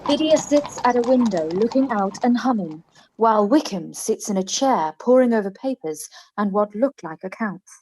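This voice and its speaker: A woman's voice: mid-range voice, animated, moderate pace, a little mellow, a little hiss or hum, rough recording. A woman reading scripted stage directions as the narrator of a radio play.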